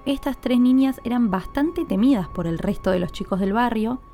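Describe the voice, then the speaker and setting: Higher-voiced woman narrating, mellow tone. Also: normal speed, faint music behind. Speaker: podcast host. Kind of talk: scripted storytelling narration.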